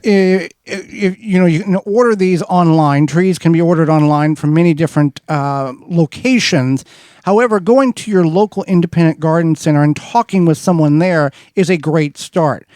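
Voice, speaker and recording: A man with a high-pitched voice hosts a gardening show, explaining things in a folksy, rambling way full of restarts. The studio sound is dry and close. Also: moderate pace, a little mellow, no room sound. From Wisconsin. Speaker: radio show host. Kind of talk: casual gardening advice chat.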